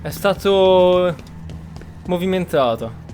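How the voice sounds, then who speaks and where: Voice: mid-range voice, expressive, takes it slowly, a little mellow, faint music behind. A streamer giving casual commentary on a video game they are playing. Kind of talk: gameplay commentary.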